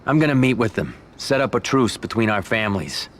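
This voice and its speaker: An actor playing a confident, controlling mafia don in a video game cutscene. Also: male voice, lowish voice, big pitch swings, fairly quick, slight background noise. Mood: assured.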